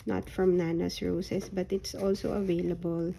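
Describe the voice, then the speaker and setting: A woman with a hoarse voice that is recovering from a sore throat, showing a handmade journal folio in a soft, unhurried way with frequent ums. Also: lowish voice, level tone, a little hiss or hum. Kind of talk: slow, casual craft flip-through.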